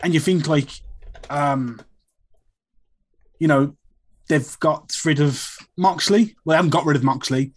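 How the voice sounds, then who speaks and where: A man's voice: fairly high pitch, unhurried, pauses often. A man calling into a podcast, nasal and stuffed up from a sinus infection, rambling easily through his thoughts. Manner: easygoing, thinks aloud in rambling sentences. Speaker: caller. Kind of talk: casual chat.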